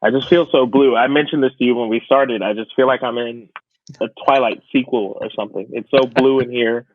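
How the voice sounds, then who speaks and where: A man's voice: mid-range voice, fairly even intonation, a little fast. A man co-hosting a podcast in casual, conversational chat. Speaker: podcast co-host. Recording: tinny, phone-like, dry sound.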